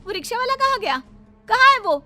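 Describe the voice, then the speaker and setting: A woman's voice: very high-pitched, very animated, fairly quick, pushed and bright, soft background music, pitched above the performer's own voice, heavily acted. A woman voicing a feisty, outspoken young married woman in a cartoon story, with theatrical indignation. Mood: indignant.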